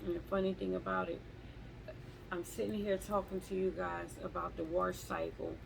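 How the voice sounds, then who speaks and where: A woman talking slowly and haltingly in a reflective live journal at home, often repeating words as she searches for them. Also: fairly low pitch, little pitch movement, gravelly, noisy background. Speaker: vlogger.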